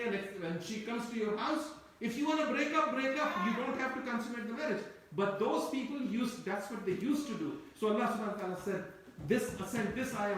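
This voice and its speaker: A man's voice: sits high, fairly bright tone, slight background noise. A man who grew up speaking Turkish gives a Quran commentary lecture, wandering and repeating himself as he explains. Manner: discursive, repeats and restarts phrases. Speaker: Quran teacher. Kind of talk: tafseer lecture. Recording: echoey room, mic at a distance.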